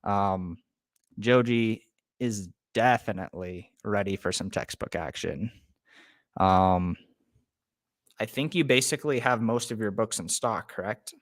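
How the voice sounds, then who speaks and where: A man's voice: fairly low pitch, measured pace, no room sound. Laid-back young man hosting a live stream. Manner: relaxed, many ums, greets chat viewers. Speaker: livestream host. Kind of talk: casual live stream chat. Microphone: webcam setup.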